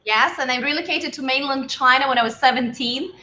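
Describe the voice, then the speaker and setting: A woman's voice: sits high, fairly quick, pushed and bright. A woman from Russia, guest marketing expert on a live online interview, speaking in an enthusiastic, articulate way. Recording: echoey room with faint hiss.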